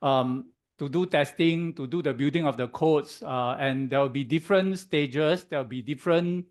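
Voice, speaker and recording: Hoarse man giving a tech talk. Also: fairly high pitch. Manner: halting, many "um"s, keeps checking in with "okay?". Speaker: guest speaker at a tech meetup. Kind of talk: conference presentation. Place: office meetup room.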